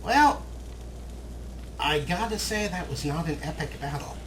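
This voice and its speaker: A man's voice: a little high, animated, measured pace, a bit bright, rough recording. A man giving casual, wryly musing commentary as he plays a video game. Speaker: let's play host. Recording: clear background game noise. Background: video game sound effects.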